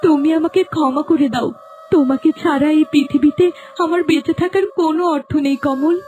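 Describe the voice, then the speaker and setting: A devoted young sweetheart with a very high voice, played by a woman. She pleads in a melodramatic cartoon manner, with music underneath. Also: fairly even intonation, brisk, a little mellow, close to an everyday voice. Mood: desperate pleading.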